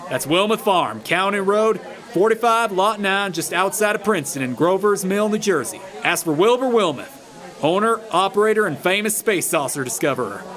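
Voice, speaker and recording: A man's voice: sits high, animated, moderate pace, a bit bright. A man playing a chatty, self-important, folksy farm owner in a radio drama, rambling boastfully as he is interviewed. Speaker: farmer witness being interviewed.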